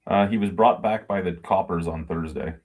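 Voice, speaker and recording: A man's voice: sits low, normal speed, darkish tone. A man in his forties chatting casually on a video call, telling long rambling stories and swearing freely. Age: 40s. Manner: rambling anecdotal storytelling with swearing. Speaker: podcast co-host. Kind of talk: casual chat.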